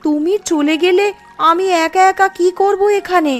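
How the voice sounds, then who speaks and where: A woman as a high-voiced, fretful village woman. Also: fairly even intonation, moderate pace, soft background music. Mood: anxious. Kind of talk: cartoon story acting.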